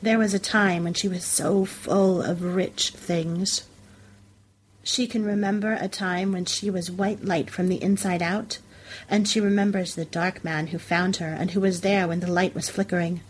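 A woman's voice: on the low side, fairly even intonation, moderate pace, slight background noise, low-quality recording. A woman reading a literary story aloud as a scripted narration for a fiction podcast.

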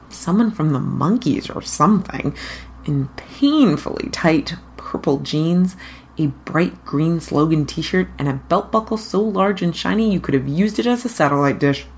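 A woman reading fan fiction aloud as an expressive scripted narration. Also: deep voice.

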